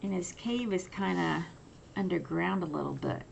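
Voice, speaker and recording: A woman reading a children's picture book aloud with drawn-out, emphatic, expressive storytelling. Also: lowish voice, gravelly, muffled recording, slight background noise.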